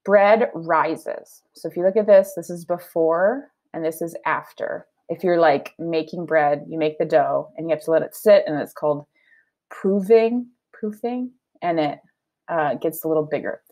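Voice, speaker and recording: A woman teacher giving a children's read-aloud lesson, explaining her thinking in an expressive, conversational way. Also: sits low, normal speed, rough recording. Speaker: elementary teacher.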